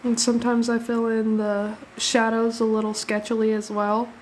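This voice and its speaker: A young woman hosts a casual drawing stream from home, sounding slightly sniffly after a cold. Her voice sits a little higher than average, and she chats easily with viewers, with quick thanks and frequent laughs. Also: monotone, a little mellow, a little hiss or hum, rough recording. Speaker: art livestream host. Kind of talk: casual live drawing chat.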